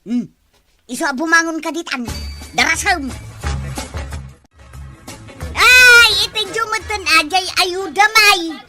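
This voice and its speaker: A woman plays a nagging elderly Ilocano villager in a comedy drama. Her fretful voice is extremely high and piercingly bright, with faint music behind it. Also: lively intonation, measured pace, full character voice. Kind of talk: broad barangay comedy acting.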